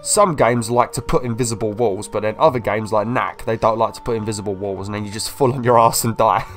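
A young man with a slight cold, casually commentating a video game walkthrough in an excitable, chatty way. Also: lowish voice, fairly quick, soft background music. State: slightly ill, sniffly. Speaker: gameplay video host. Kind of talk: casual let's-play commentary.

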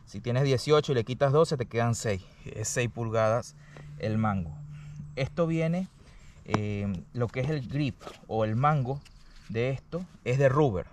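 A man giving a casual, explanatory hands-on product review, often pausing to check the listener follows. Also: mid-range voice. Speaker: product reviewer, channel host. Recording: distant mic, faint background noise.

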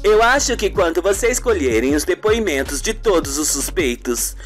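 A man's voice: a little high, big pitch swings, moderate pace, fairly bright tone, faint music behind. A man voicing a loving, flirtatious woman of forty, tender and teasing, in an amateur radio soap opera.

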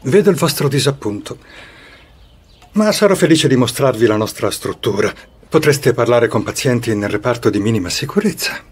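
A man voicing a courteous, smug older asylum director in an amateur drama fan dub, speaking in a measured, formal, condescending way. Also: medium pitch, expressive, breathy edge. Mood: politely firm.

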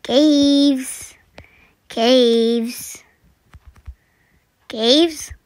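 A young woman chatting through a survival game, her voice scratchy this morning, clearing her throat and often dropping to a whisper. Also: sits high, fairly even intonation, very slow, darkish tone, no echo. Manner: chatty, self-deprecating, often drops to a whisper. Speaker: gaming channel host. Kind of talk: casual gameplay commentary.

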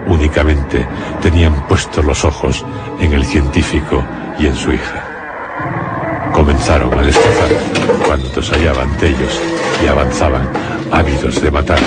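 A man narrating a scripted suspense radio play in a solemn storytelling manner. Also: a bass voice. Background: prominent dramatic music.

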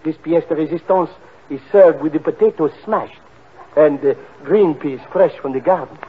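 An actor playing a fawning, excitable French restaurant owner with a put-on French accent in a 1940s radio comedy. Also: male voice, a little high, normal speed, breathy edge. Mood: eager, flowery. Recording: tinny, band-limited 1940 radio recording.

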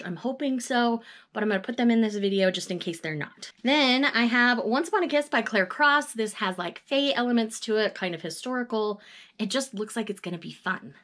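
A woman's voice: mid-range voice, fairly bright tone. A woman, chatting casually and cheerfully about her reading plans, laughing now and then. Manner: chatty and upbeat, laughs between book titles. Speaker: book vlogger. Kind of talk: casual reading-plan chat. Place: small room in front of full bookshelves. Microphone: webcam or camera at desk distance.

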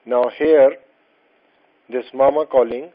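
A man's voice: medium pitch, steady tone. Patient male language teacher, lo-fi voice-over. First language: not Arabic. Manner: slow, patient explaining with many fillers and restarts. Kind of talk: explaining a textbook lesson. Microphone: voice-over over slides. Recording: dull lo-fi voice-over.

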